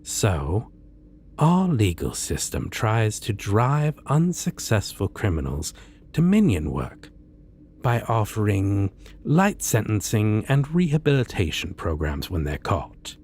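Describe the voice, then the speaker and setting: A man playing a polite, self-assured supervillain in an ASMR roleplay, speaking in a pleasant, businesslike and theatrically measured way. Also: fairly low pitch, wildly swooping pitch, darkish tone, a little hiss or hum, full character voice. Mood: courteous, matter-of-fact. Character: polite, self-assured male supervillain interviewing a minion recruit.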